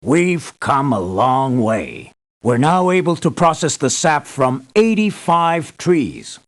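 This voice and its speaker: An actor playing an earnest, measured male leader giving formal, deliberate briefings in a scripted video game. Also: mid-range voice, big pitch swings. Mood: satisfied, formal. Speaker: mission briefer.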